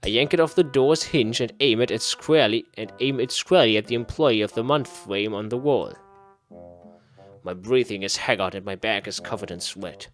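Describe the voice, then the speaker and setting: A man's voice: fairly low pitch, moderate pace, soft background music. A man giving a casual playthrough commentary, reading game text aloud and muttering to himself in repeated phrases. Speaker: gaming video host.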